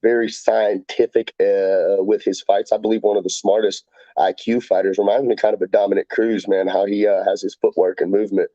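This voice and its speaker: Guest fighter, male, lower voice, mellow tone, excitable and profane, dry dull recording. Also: a little fast, dry recording. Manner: rambling, excitable, swears freely, acts out quotes. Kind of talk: casual podcast chat.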